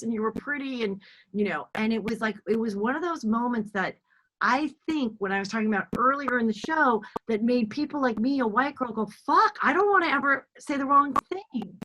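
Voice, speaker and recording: A woman's voice: on the high side, pushed and bright, low-quality recording. A middle-aged woman hosting a podcast, chatting casually and rambling with many restarts and fillers. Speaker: podcast host. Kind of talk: casual chat.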